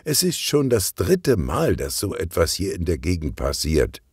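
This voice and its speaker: Guy doing a worked up young gym staffer in a kids story, puffy, husky, all over the place. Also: low-pitched, unhurried, no echo, clean studio sound, full character voice. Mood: anxious, curious. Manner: excited, puffing. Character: agitated young male fitness club employee.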